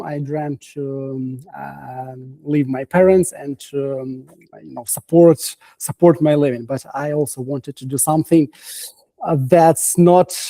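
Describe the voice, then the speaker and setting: Ukrainian man, dark halting voice. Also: fairly high pitch, no room sound. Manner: halting, many fillers and restarts. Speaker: guest coach. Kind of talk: casual interview chat. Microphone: video call.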